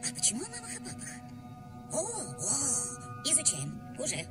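Chatty scatterbrained girl fish for a cartoon, really lively, sounds far off with loud music. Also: high voice, moderate pace. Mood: flustered, chatty. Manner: chatty, eager, rambling. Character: cheerful, forgetful, scatterbrained female blue fish. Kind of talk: animated film dubbing.

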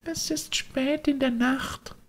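A man voicing the gentle, solemn ghost of a cricket in a soft, hollow, breathy whisper, pleading slowly in a storybook reading. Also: extremely high pitch, fairly even intonation, pitched above the performer's own voice, full character voice. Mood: solemn warning. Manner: slow, drawn-out pleading.

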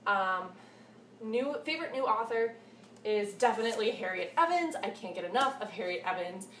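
A woman's voice: mid-range voice, lively intonation, moderate pace, a bit bright. A woman in her twenties chatting casually to camera about books, gushing and repeating words for emphasis, recorded in a room at home. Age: 20s. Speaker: book reviewer vlogger. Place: small cluttered room at home, indoors. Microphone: camera mic a short distance away. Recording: echoey room, distant mic, faint hiss.

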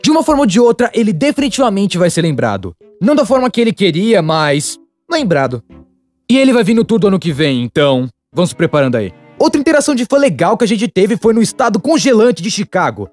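Guy doing a young brazilian cartoon narrator, really high voice, chatty and bouncy, music behind. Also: quick pace. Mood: wry. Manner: chatty, animated, comedic. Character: young male cartoon storyteller, excitable and wry. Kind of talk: animated storytime narration, dubbed.

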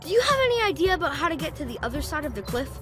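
An earnest little boy hero, very high and lively in pitch. The delivery is eager and declamatory, like a cartoon game team leader, with loud music behind. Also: rapid-fire. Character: earnest young boy superhero leader in cat costume. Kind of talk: animated video game hero acting.

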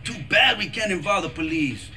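A man playing an excitable, high-strung, slangy car thief in a video game, with a melodramatic manner. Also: moderate pace, faint music behind, full character voice. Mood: exasperated. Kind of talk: video game cutscene acting.

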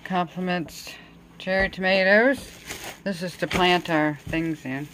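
Woman, middle-aged, slow pace, casual home vlog, short listing phrases, faint background noise. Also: lowish voice, fairly even intonation, a bit bright. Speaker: home vlogger. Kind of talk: casual grocery haul vlog. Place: indoors at home, at a table. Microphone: handheld phone. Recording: noisy home phone recording.

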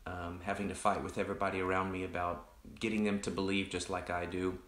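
A man, a pastor casually chatting with online viewers in a rambling, reflective way, his voice deepened by allergies. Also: level tone, quick pace, fairly bright tone, roomy sound. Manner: rambling, many restarts and fillers, greets viewers by name. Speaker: pastor hosting an online coffee chat.

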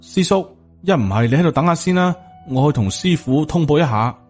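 A man's voice: on the high side, animated, brisk, darkish tone, faint music behind, voice pushed up. A man with a Hong Kong Cantonese accent voicing a humble, anxious male disciple in an audiobook, apologetic and deferential.

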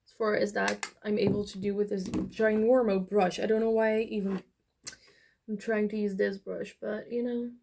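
A woman's voice: medium pitch, level tone, normal speed, gravelly, dark tone, a little hiss or hum. A young woman chatting casually through a makeup tutorial, rambling with many fillers. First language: Dutch. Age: young adult. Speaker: beauty vlogger. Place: small bedroom, indoors.

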